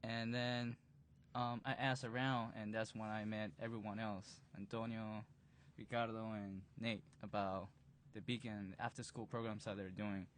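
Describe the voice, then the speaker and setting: A man in his early twenties, a b-boy instructor, recalling in a relaxed way with frequent fillers during an interview. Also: fairly low pitch, a little slow, slight background noise. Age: 20s. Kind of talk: recorded interview recollection. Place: museum interview room.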